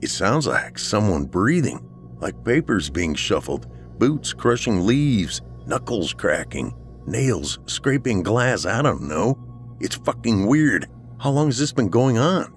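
A man's voice: on the low side, big pitch swings, takes it slowly, soft background music, pitched above the performer's own voice. A man playing a worried, loyal friend in a horror story, earnest and colloquial, easily exasperated. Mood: uneasy, determined. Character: worried, loyal male friend, rattled but practical. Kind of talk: audiobook character dialogue, horror story.

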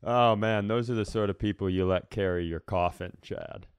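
A man with a clear, smooth voice on the low side, recorded close and dry. He talks casually like a podcast comedian, rambling through self-deprecating stories. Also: fairly even intonation, normal speed, no echo.

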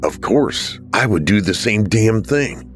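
A man performs a male ghost in an audiobook, agitated yet caring. The delivery is animated and frustrated, over music. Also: lowish voice, measured pace. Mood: resigned.

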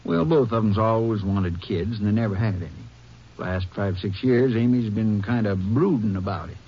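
Fatherly, skeptical small-town sheriff, husky and folksy, old muffled radio-drama recording. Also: fairly low pitch. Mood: explaining. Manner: folksy, soothing, drawn-out reasoning. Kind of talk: 1950s radio drama acting.

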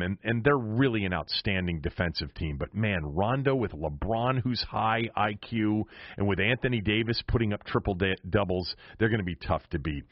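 A man's voice: lowish voice, no echo, highs cut off. A middle-aged American man giving casual, opinionated solo sports commentary as a host, speaking emphatically. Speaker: sports podcast and radio host.

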